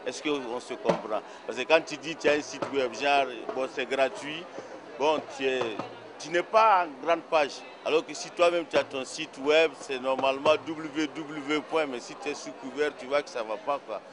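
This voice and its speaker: Man, artisan, street interview, chatty explaining tone, loud crowd behind. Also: mid-range voice, moderate pace. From Burkina Faso. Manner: chatty, explanatory, tags phrases with "quoi" and "tu vois". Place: busy outdoor craft fair stall. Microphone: handheld mic held by interviewer.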